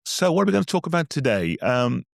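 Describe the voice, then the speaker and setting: A man hosting a business podcast, chatty and a little self-deprecating, his voice slightly croaky from a cold. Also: a little high, very animated, fast, no room sound, clean studio sound. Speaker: podcast host. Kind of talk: casual podcast chat.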